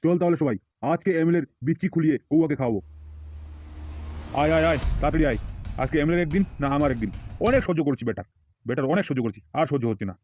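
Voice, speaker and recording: A man's voice: a little high, animated, very fast, a little mellow, faint music behind, natural voice. A man voicing a swaggering, commanding village gang boss and doting uncle in an amateur cartoon, with tinny phone-like sound. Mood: boastful threats. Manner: boastful, commanding.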